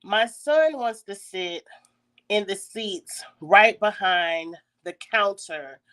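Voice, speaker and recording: Woman, raspy congested voice, clears her throat often, chatty storytelling, phone recording. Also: mid-range voice, slow pace, fairly bright tone. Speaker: YouTube host. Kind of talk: casual story time.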